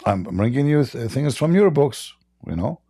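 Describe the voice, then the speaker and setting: A man's voice: mid-range voice, very animated, normal speed, warm tone, slightly rough, dry recording, clean studio sound. A man hosting a live debate, pressing a caller with repeated questions. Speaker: debate host. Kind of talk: live call-in religious debate.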